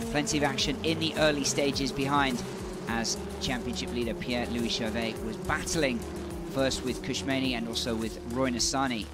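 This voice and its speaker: A man calls a motor race with brisk, informative commentary. His voice sits at an ordinary pitch, and he leans harder into the decisive moments. A faint music bed plays beneath him. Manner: brisk, informative, building emphasis on key moments.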